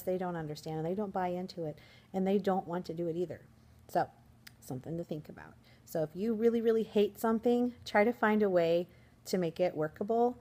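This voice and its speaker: A woman teacher vlogging in a casual, upbeat, chatty way, her voice slightly hoarse. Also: lowish voice, warm tone. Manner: chatty, upbeat, rambling with fillers. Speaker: first grade teacher, vlogger. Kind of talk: casual vlog chat to camera.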